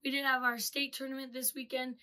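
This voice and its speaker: A young woman with a slightly hoarse voice chatting casually through a product review, full of ums and uhs, recorded on a studio mic in a small room. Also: high-pitched, level tone, a little fast, sharp tone. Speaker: 3D printing reviewer. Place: small indoor room with 3D printers. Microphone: studio mic with pop filter.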